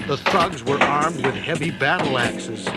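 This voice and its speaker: A man narrating a children's adventure story in a deliberate, theatrical style. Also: a little high. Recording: loud music behind the voice.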